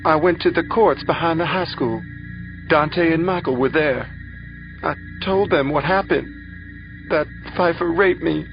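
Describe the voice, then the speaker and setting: A man voicing a frightened, distraught young woman on the witness stand, in a faint girlish voice with halting delivery. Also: medium pitch, expressive, highs cut off, over music, voice pushed up, natural voice. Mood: anguished, tearful. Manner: halting, anguished.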